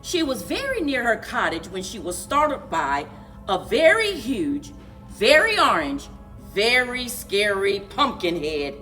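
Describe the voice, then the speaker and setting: A woman reading aloud as a library story time host, with expressive, dramatic, deliberate children's narration and playful sound words. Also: a little high, faint music behind, low-quality recording.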